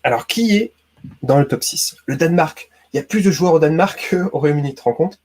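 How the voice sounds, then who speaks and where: Man, husky voice, casual quiz host, chatty, talks to the viewer. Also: on the high side, lively intonation, a little fast, a little mellow. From Brittany, France. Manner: chatty, addresses viewer as tu, many hein and d'accord. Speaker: YouTube host.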